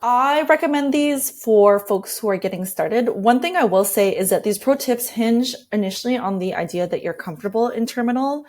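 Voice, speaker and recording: A woman's voice: fairly high pitch, expressive, normal speed, hardly any pauses, darkish tone. A woman speaking as an interview guest, explaining and walking through tips in a conversational way. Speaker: interview guest, design lead.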